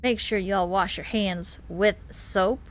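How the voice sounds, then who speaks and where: A woman's voice: mid-range voice, fairly even intonation, slow, slight background noise, lightly acted. A woman voicing a strict, fussy mother and doctor in a visual novel, with a firm, bossy manner.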